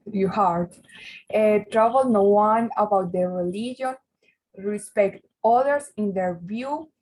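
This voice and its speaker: Slow, hesitant woman reading aloud. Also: mid-range voice, darkish tone, rough recording. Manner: hesitant, stumbles and repeats words. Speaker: language student reading aloud. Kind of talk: halting reading of a text aloud.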